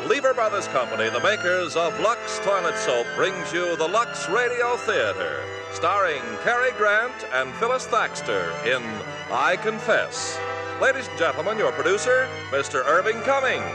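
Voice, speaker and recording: Booming old radio announcer man. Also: very animated, normal speed, loud music behind the voice.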